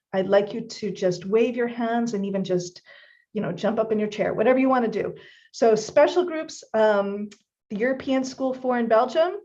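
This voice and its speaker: A woman with a somewhat high voice hosts a live online event. She greets viewers brightly and speaks right to them. The sound is muffled, like stream audio. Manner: upbeat, addresses audience directly. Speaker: host. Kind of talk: live-streamed webinar hosting.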